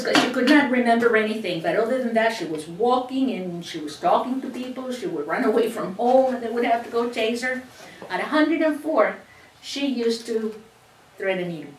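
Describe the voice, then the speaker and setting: A woman's voice: on the high side, distant mic, a little hiss or hum. Chatty Cuban-accented woman teaching sewing. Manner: chatty, explains step by step with many restarts. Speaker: sewing instructor. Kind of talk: hands-on teaching demonstration. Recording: echoey room, voice away from the mic, faint ambience.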